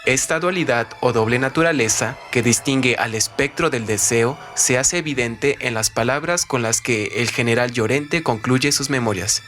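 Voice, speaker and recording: A man presenting a scripted literary radio segment in a measured, formal reading manner. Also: mid-range voice, a bit bright. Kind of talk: scripted narration, trivia about a novel. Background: background music.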